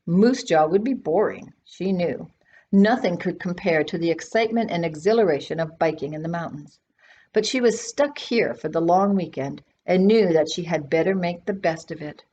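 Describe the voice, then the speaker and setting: A woman's voice: on the low side, normal speed, dark tone. A woman reading a children's novel aloud expressively, as its author. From Canada. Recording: dull sound with faint background noise.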